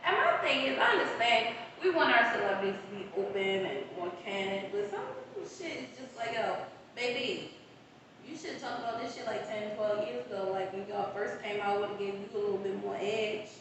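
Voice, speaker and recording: A woman co-hosting a casual podcast, gossiping loudly with lots of slang. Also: medium pitch, normal speed, a little hiss or hum. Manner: loud, animated gossiping with slang. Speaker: podcast co-host. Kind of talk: casual chat. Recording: echoey room from far off.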